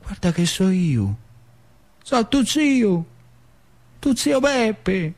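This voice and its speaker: Man acting out a nosy uncle, pitched high. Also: very animated, drawn-out words, darkish tone, exaggerated voice. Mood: wistful. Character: gruff, nosy uncle back from war, questioning a boy.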